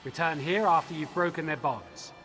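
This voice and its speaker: An actor playing an earnest elf leader in a video game, giving measured, solemn instructions with urgency. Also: male voice, on the high side, fairly bright tone, soft background music, lightly acted. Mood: calm, instructing. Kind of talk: video game quest dialogue.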